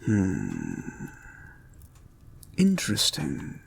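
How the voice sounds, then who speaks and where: A creepy, controlling therapist acted by a man in an intimate hypnosis audio drama. His voice is low and gravelly, breathy at the edges, and he speaks softly with very deliberate, polite phrasing, swaying widely in pitch as he soothes. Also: wildly swooping pitch, heavily acted. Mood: soothing, intent. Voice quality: breathy, husky, often dropping to a whisper. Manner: soft-spoken, deliberate, halting, formally polite. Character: creepy, soothing male experimental therapist, calmly controlling and intent. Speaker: audio roleplay performer.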